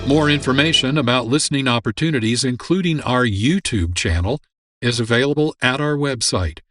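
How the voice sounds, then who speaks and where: A man's voice: medium pitch, fairly quick, a bit bright, no room sound. A man hosting a radio program with scripted narration. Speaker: producer and host.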